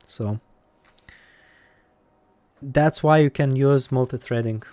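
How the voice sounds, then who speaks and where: A man with an Eastern European accent, live-streaming while coding and thinking aloud, with many pauses and fillers. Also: medium pitch, darkish tone, dry recording.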